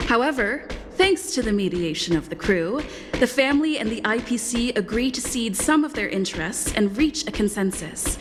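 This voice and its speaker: A woman's voice: a little high, lively intonation, moderate pace, lightly acted. A woman playing a grand, composed storyteller narrating a scripted video game story recap. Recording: long reverb, music behind.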